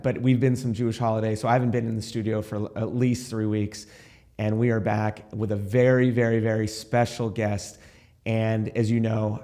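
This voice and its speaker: A man's voice: mid-range voice, mellow. A man hosting an interview in a studio, chatty and enthusiastic, with rambling introductions. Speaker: rabbi and podcast host. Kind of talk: casual interview chat. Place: recording studio.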